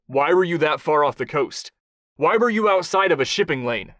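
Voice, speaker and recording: A man's voice: medium pitch, fairly quick, a bit bright, dry recording, natural voice. Man voicing a grim, barking action-hero interrogator. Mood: hard demanding. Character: hard, ruthless male action hero interrogating a captive. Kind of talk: audiobook character voice.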